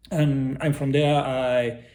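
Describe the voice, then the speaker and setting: A man's voice: medium pitch, flat delivery, a little mellow, reverberant. A middle-aged man from Argentina with an Argentine Spanish accent, speaking English as a second language and searching for words in a casual interview. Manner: halting, searching for English words, many restarts. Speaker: interview guest, artist.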